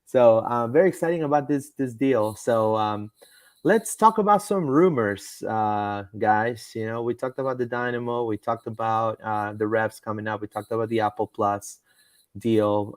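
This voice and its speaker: A man's voice: fairly low pitch, moderate pace, no room sound. A man hosting a casual live soccer stream, rambling with lots of fillers and stumbles as he reads comments and rumors. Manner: rambling, with frequent fillers and repeated words. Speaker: podcast host.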